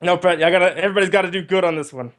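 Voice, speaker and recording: A man's voice: high-pitched, rapid-fire, a crowd in the background. A man hosting a livestream in casual group chat, with hesitant, rambling explanations. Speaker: livestream host.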